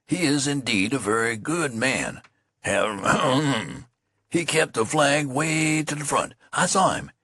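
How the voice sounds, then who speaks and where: A stuffy old army colonel, harrumphing and clearing his throat , as a male reader puts him on. The voice is raised high over a gravelly, husky base and the sound is dry. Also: very animated, a little slow, pushed and bright, no room sound, full character voice. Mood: pompous.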